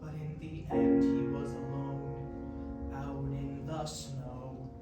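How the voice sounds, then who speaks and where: A woman acts out a withdrawn young college man in a stage musical, heavy with grief. The delivery is slow and halting, recorded in a very echoey room at a distance with prominent piano. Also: very deep voice, level tone. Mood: anguished grief. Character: grieving, withdrawn young male college student. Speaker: actor in a staged reading. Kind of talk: musical theatre monologue.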